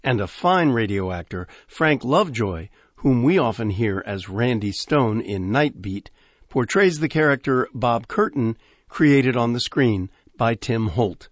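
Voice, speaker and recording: Casting: older male host, lively delivery, very dry close studio sound. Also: mid-range voice, a little slow, no room sound. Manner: smooth, informative announcing. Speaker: radio host. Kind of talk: scripted radio introduction.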